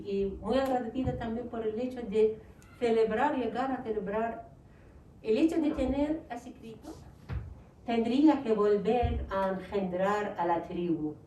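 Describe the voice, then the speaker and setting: A woman's voice: medium pitch, warm tone. Iraqi-accented woman in an echoey hall. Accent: Iraqi Arabic. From Iraq. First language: Arabic. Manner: hesitant, many fillers. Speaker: writer and panel guest. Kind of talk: panel talk. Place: lecture hall. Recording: roomy far-off mic, faint noise.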